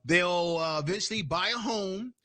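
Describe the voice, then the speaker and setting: Man, live stream host, angry profane rant, fairly high pitch, sharp tone. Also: normal speed, no room sound. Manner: profane, angry, repetitive ranting.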